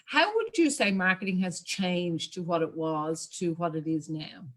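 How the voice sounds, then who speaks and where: A woman's voice: fairly low pitch, expressive, normal speed, fairly bright tone. Woman hosting a video call interview. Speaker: interview host.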